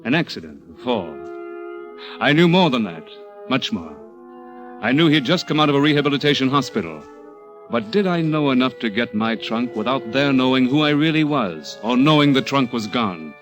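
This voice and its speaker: An American man acting as a cunning, controlling young murderer in a radio thriller, with an insinuating, halting, deliberate delivery. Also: medium pitch, sing-song, a bit bright, faint music behind. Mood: tense, brooding. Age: young adult. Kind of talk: radio thriller acting with first-person narration.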